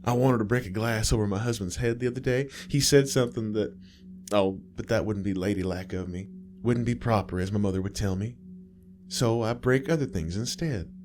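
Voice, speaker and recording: A kind, wistful nurse, voiced by a man with a deep voice lifted a little higher, who speaks in a soft, confiding way that drops toward a whisper. The low faint hiss stays under the storybook reading. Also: fairly quick, close to an everyday voice. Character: kind, sad middle-aged female nurse.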